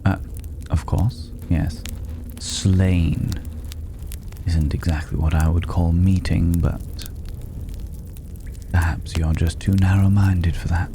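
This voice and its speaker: Man acting as a mysterious male stranger, bottomless low voice, subdued narrow intonation, condescending and teasing, drawn-out pauses, fantasy ASMR audio drama. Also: level tone. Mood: sly, sardonic. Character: mysterious male stranger dwelling in a dragon's hoard, mocking, worldly. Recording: clearly noisy ambient background.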